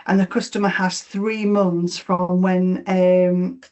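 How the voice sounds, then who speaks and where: A woman's voice: lowish voice, little pitch movement, slow pace, a little mellow. A middle-aged woman with a Yorkshire accent, presenting a webinar on mortgage rewards, explaining each slide with frequent fillers and repeated words. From Yorkshire, England.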